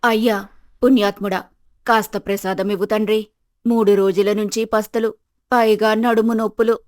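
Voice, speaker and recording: A woman's voice: medium pitch, flat delivery, moderate pace, darkish tone, no echo, studio quality, natural voice. A woman playing a bossy, greedy mother-in-law in a village moral story, with a conspiratorial, coaxing manner. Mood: pleading. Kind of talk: Telugu moral-story character acting.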